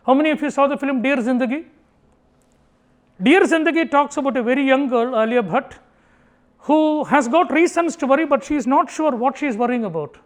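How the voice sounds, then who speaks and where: A man from Kerala with an Indian English accent, giving a conversational public talk to an audience. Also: very high voice. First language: Tamil. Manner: animated, acts out family dialogue in Tamil. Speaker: speaker giving a motivational talk. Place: auditorium.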